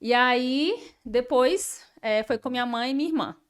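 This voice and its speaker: A woman in her thirties from Ceará, Brazil, sits as a guest on an interview show and tells her story in a chatty, easygoing way, often tagging her sentences with little questions. Her voice is smooth and resonant and sits a touch high. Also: a little slow. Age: 30s. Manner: chatty, frequent tag questions and laughs. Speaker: guest architect. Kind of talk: casual interview chat.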